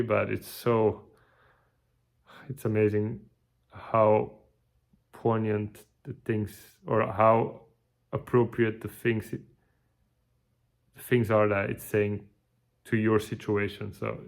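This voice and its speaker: A tired man, giving a halting, casual video update with many restarts. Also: on the low side, vocal fry. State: tired, burned out. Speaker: vlogger.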